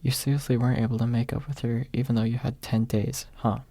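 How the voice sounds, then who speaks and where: A man voicing a gentle, candid young woman in a soft-spoken fantasy audiobook. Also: medium pitch, flat delivery, fast talker, no echo, close to an everyday voice.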